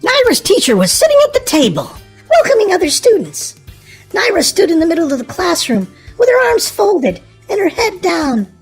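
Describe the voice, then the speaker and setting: A woman reading a children's storybook aloud in an expressive, animated way. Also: extremely high pitch, moderate pace, soft background music. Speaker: storybook narrator.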